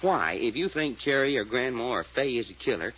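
An actor playing a folksy, excitable, skeptical detective's sidekick with an American Southern drawl, in 1940s radio style. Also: male voice, medium pitch, brisk, husky. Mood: puzzled. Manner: folksy drawl, questioning, incredulous. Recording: muffled old-time radio recording.

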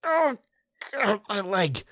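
A man's voice: high-pitched, big pitch swings, slow, a bit bright, no echo, studio quality, pitched above the performer's own voice, full character voice. A man playing a desperate, injured husband trapped underground in a horror radio drama. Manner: pleading, ragged, then defiant.

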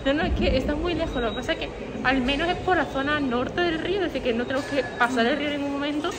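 A woman's voice: sits high, quick pace. A woman chatting casually and upbeat while vlogging outdoors on a busy city street, filmed on a handheld camera. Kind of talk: casual travel vlog chat. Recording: music and crowd noise behind.

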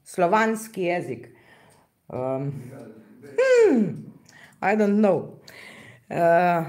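A woman from Slovenia speaks English with a Slovenian accent, very slowly and a little high. She talks in a halting, casual way, often pausing to search for words. She is recorded indoors at a craft table by a camera mic above it. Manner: halting, searches for words, frequent fillers and laughs. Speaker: acrylic pouring artist hosting a live stream. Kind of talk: casual live-stream chat reading viewer comments.

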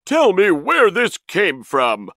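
A greedy, bossy storybook man, voiced by a male narrator, excitable and demanding. The voice jumps high and swings widely, with a bright, forceful edge. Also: extremely slow, dry recording, studio quality, full character voice. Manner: demanding, exclamatory.

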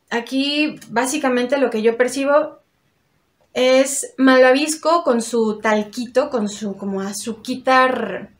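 A young woman, chatting casually and expressively as a beauty vlogger reviewing products from her bedroom. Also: on the high side. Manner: chatty, expressive, uses diminutives. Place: small bedroom with vanity mirrors, indoors.

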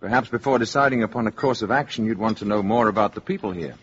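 An actor playing a calm, stern alien envoy in a 1950s radio drama, speaking formally and deliberately with a husky voice. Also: male voice, fairly low pitch, a little hiss or hum, close to an everyday voice. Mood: calm, polite. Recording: dull old 1950s radio recording.

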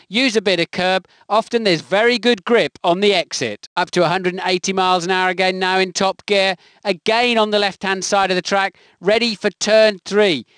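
A man's voice: sits high, a bit bright, clear, resonant tone, dry recording, clean studio sound. A man delivering scripted motor racing commentary for a video game in clipped, emphatic phrases. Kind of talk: scripted race commentary voice clips.

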